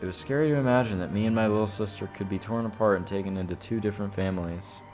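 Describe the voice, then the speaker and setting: A man's voice: fairly low pitch, fast, darkish tone, soft background music. A man streaming a visual novel, reading the narration aloud with informal, casual asides.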